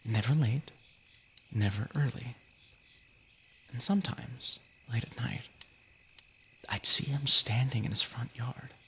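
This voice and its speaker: A man with a gravelly, creaky voice casually reading stories as a livestream host, informal and soft-edged. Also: lowish voice, slight background noise.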